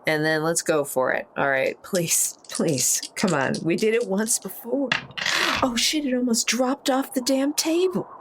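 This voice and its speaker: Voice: medium pitch, measured pace, noisy background. A tabletop game player chatting informally, thinking aloud and joking through each move. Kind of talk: casual chat during a tabletop game.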